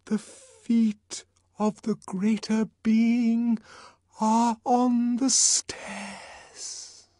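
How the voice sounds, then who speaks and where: A man voicing a disembodied, mesmerising cult voice in an audiobook, commanding and portentous, with drawn-out words. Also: fairly high pitch, big pitch swings, dark tone, no room sound, clean studio sound, voice pushed up, heavily acted. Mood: hushed, awed whisper. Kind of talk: dramatic audiobook reading.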